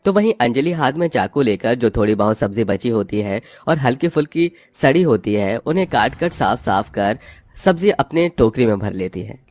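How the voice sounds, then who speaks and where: A man's voice: medium pitch, lively intonation, normal speed, a little mellow, no room sound. A man reading scripted narration for a cartoon moral story. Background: background music.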